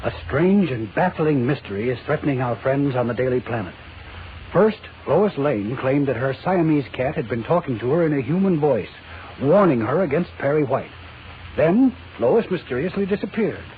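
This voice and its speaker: A man's voice: medium pitch, lively intonation, moderate pace, slight background noise. Suspenseful male narrator, tinny old radio. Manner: suspenseful, portentous. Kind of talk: dramatic scripted narration, 1940s radio.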